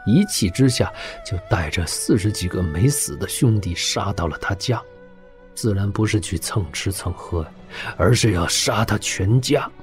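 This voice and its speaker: A low, weary old warlord. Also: male voice, darkish tone. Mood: weary, reminiscing. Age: older. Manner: slow, earnest fatherly counsel. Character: weary, dying old warlord father, blunt and tender. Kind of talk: audio drama acting.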